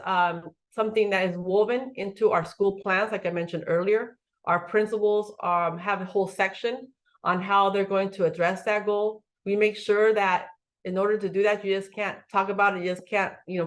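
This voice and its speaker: A middle-aged woman, a school superintendent, giving a webinar presentation in an earnest way, with many fillers and restarts. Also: medium pitch.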